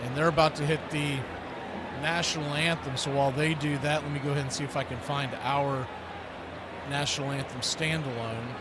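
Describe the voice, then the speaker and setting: A man's voice: a little high, fairly even intonation, a little fast, heavy background noise. An American man hosting a live football watch party, chatting casually and in a folksy way as he previews the game.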